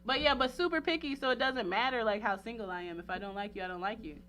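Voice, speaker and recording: A woman in her thirties chatting casually and candidly on a talk show, animated and rambling with frequent filler phrases. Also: on the high side, fast. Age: 30s. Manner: rambling, animated, peppered with "like" and "you know".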